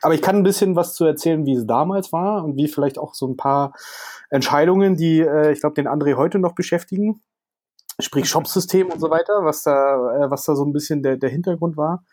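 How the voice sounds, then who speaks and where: A man's voice: on the high side, brisk, a little mellow. A man co-hosts a podcast in a loose, chatty way. He thinks aloud, reaching back for dates and names as he tells the story. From Berlin, Germany. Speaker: podcast co-host. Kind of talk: casual podcast conversation.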